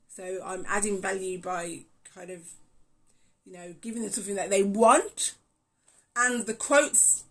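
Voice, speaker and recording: A woman chatting casually to viewers on a phone live stream, excitable and full of ums, reacting loudly to comments. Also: mid-range voice. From England. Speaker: small business owner hosting a live stream. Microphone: phone on a tripod rig.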